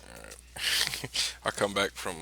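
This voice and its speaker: A man's voice: low-pitched, big pitch swings, slow, vocal fry, bright, forceful tone, a little hiss or hum, rough recording. A man talking casually as a player in a tabletop role-playing game.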